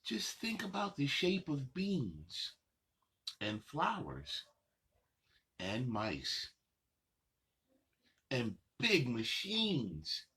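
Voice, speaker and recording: A man hosting a children's story time, reading a picture book aloud with playful, drawn-out phrasing and chatty asides to the kids. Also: mid-range voice, huge pitch swings, bright, forceful tone, slightly rough.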